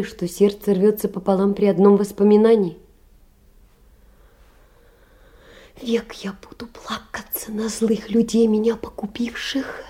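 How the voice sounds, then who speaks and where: An actress playing a poor, lonely young seamstress in an audio play, tender and emotional, often dropping to a breathy whisper. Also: female voice, medium pitch, dark tone. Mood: wistful, anxious. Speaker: stage actress. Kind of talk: theatrical audio-play monologue of letters.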